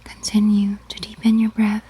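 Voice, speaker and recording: A woman narrating a guided sleep meditation in ASMR style, giving gentle, measured instructions in a whispery, breathy voice. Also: mid-range voice, completely flat delivery.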